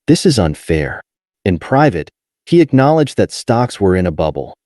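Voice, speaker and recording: Expressive low-voiced male audiobook narrator. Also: normal speed, dry recording, studio quality. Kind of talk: scripted nonfiction narration.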